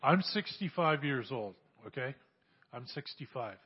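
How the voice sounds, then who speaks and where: A man in his sixties giving an animated church testimony, exhorting and repeating phrases for emphasis. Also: mid-range voice, a little slow, slightly rough, lo-fi sound. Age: 60s. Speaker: church speaker sharing mission testimony. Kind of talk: sermon testimony.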